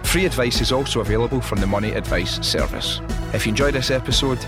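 A man's voice: medium pitch, fast, loud music behind the voice. A man with a Scottish accent hosting a podcast, moving between scripted introductions and casual chat. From Scotland. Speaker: podcast host.

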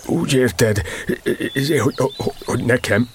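An actor playing a gloomy, self-deprecating stuffed donkey in a children's radio play, speaking slowly and hesitantly. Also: male voice, mid-range voice, background noise, close to an everyday voice. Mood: incredulous, moved. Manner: slow, hesitant, doleful, repeating himself.

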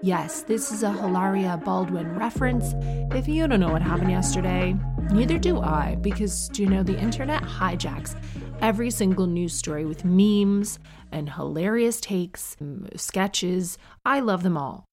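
A woman in her thirties from Canada with a neutral North American accent, hosting a podcast in a chatty, gossipy monologue with quick asides. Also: fairly low pitch, expressive, over music. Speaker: podcast host. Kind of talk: casual monologue.

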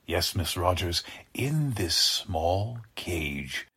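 Slow deep mad scientist, raspy and sinister, old radio play, super pompous. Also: male voice, very animated, sharp tone, full character voice. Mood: sly, inviting. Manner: theatrical, pompous, savoring words slowly. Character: deranged, boastful male mad scientist, grandiose and sinister. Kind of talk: 1940s radio drama acting.

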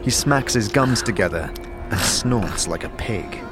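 A man's voice: on the low side, lively intonation, unhurried, over music. Male narrator of a fantasy audio drama. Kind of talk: scripted second-person fantasy narration.

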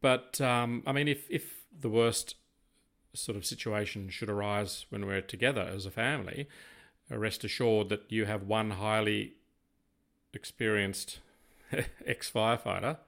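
An older Australian man co-hosting a casual podcast, talking in a rambling, deliberate way. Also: fairly low pitch, steady tone, creaky, fairly bright tone. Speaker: podcast co-host.

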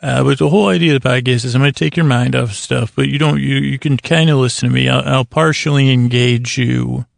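Very creaky, monotone male podcast host. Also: medium pitch, no room sound, clean studio sound. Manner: meandering, hesitant, with long pauses and self-interruptions. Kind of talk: rambling bedtime monologue.

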